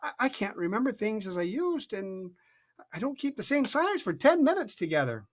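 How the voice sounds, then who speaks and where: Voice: mid-range voice, big pitch swings, voice pushed up. A parent reading aloud, voicing a polite, anxious young girl in a raised voice that stumbles over words. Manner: raised, hurried, slightly stammering.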